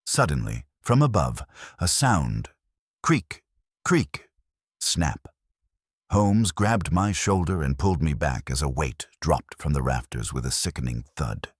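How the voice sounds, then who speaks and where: Very deep male mystery narrator, animated delivery. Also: slightly rough, no echo, studio quality. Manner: measured, suspenseful storytelling. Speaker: audiobook narrator. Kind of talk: scripted dramatic mystery narration.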